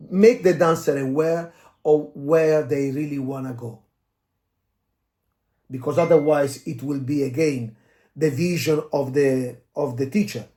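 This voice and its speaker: A man who speaks English as a second language, explaining as a dance coach in a casual, halting discussion, searching for words and often adding "you know". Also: a little high, warm tone. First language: not English. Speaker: dance coach and podcast co-host.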